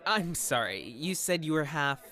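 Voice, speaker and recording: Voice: medium pitch, sing-song, a little slow, bright, forceful tone, a faint crowd. An actor playing a haughty, condescending job interviewer with a prejudice against hybrids, in an audio drama. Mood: polite, dismissive. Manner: condescending, dismissive, swooping intonation.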